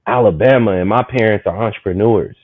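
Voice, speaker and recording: Guest: man, 30s, from Maryland, rambling storyteller, low voice, phone-quality audio, dry. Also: brisk, no echo. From Maryland, USA. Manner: animated, rambling, full of "you know what I'm saying". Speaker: guest, community market founder. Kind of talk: casual storytelling conversation.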